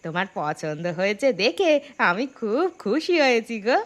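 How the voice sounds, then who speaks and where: A woman's voice: mid-range voice, sing-song, moderate pace, natural voice. A woman voicing an affectionate, coy village housewife in a cartoon story, homely in manner. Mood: happy, affectionate. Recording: music clearly under the voice.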